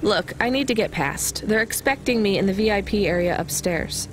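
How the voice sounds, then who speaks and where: Woman playing a wry, mid-pitched delivery driver. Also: animated, normal speed, slight background noise, lightly acted. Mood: guarded. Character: wry, level-headed young female delivery driver. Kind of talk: video game dialogue. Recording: music behind the voice.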